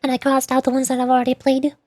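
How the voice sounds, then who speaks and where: A woman's voice: high-pitched, completely flat delivery, quick pace, mellow, no echo, studio quality. A woman livestreamer chatting casually to viewers, rambling and thinking aloud as she goes.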